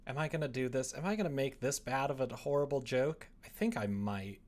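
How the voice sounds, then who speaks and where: A man in his late twenties with a medium-pitched voice talks casually to a live stream chat. He is giggly and often drifts into muttering to himself as he works at a desk microphone. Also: fairly quick. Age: late 20s. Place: small indoor room at a computer.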